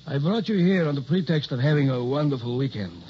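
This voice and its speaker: A man acting a worried, secretive older scientist in a 1960s radio drama, speaking in a measured, formal, solemn way. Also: a little high, animated, lightly acted. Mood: grave, confessing. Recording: muffled, noisy old radio recording.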